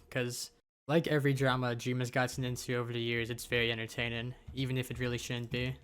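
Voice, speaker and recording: A man's voice: medium pitch, a bit bright, smooth. A young man who is a little sick, giving rambling, casual commentary over his gameplay. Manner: rambling, offhand, with many fillers. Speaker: gaming YouTuber.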